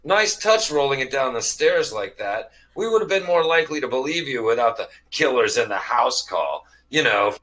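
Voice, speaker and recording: Man playing a sarcastic, bright-voiced exasperated buddy. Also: medium pitch, expressive, normal speed, few pauses, lightly acted. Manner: sarcastic, complaining. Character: grumbling, exasperated male board-game player, flippant buddy. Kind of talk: amateur live script read.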